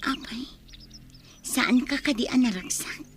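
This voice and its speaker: A woman's voice: on the high side, unhurried, noisy background, natural voice. A woman with an Ilocano accent playing an emotional, pleading pregnant wife in a radio drama. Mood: hurt, indignant.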